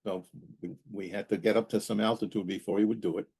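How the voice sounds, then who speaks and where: A man talking casually as a podcast host, explaining technical matters in a halting way and repeating words for emphasis. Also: fairly low pitch, steady tone, gravelly, darkish tone, slightly rough. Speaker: aviation safety podcast host and pilot.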